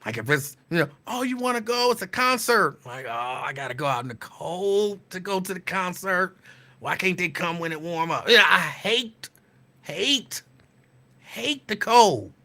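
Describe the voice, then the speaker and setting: Bright-voiced man chatting casually. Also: sits high. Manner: relaxed, rambling, drawn-out repeats. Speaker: show host. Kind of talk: casual live-stream chat.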